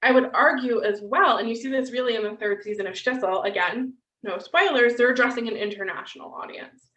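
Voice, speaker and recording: A woman's voice: fairly high pitch, a little fast, a bit bright. A woman giving an online lecture and taking questions, talking in a loose conversational way with frequent "um" and "right?" tags. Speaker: guest lecturer. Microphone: video call. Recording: distant, roomy, dull video-call audio.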